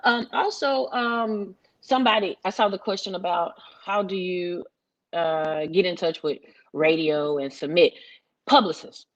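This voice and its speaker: A woman's voice: lowish voice, a little slow. A woman giving informal advice as a panelist. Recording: dull, muffled lo-fi audio.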